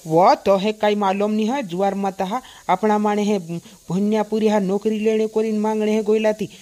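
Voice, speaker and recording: A woman's voice: medium pitch, steady tone, moderate pace, dark tone, natural voice. A woman playing a defiant village voter in a cartoon comedy, scolding and insistent.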